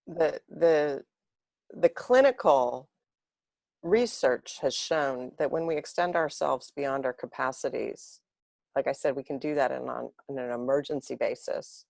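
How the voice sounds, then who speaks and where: Low-voiced woman, dry close sound. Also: unhurried, vocal fry, darkish tone, dry recording. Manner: earnest, reflective, speaks in long flowing thoughts. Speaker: interview guest. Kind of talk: reflective conversation.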